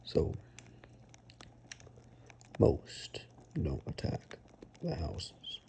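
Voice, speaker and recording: A man playing a protective monster-hunting woodsman in an ASMR roleplay, half-whispering in a gravelly, deliberate, matter-of-fact way. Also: dark tone, a little hiss or hum. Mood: calm, assured. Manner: slow, deliberate, matter-of-fact reassurance with pauses.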